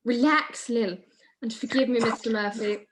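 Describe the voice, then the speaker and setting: A breezy, knowing society lady from a 1940s radio mystery, played by a woman. She speaks in a fairly high voice with a gushing, flirtatious and teasing manner. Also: steady tone, normal speed, lightly acted. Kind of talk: 1940s detective radio play acting.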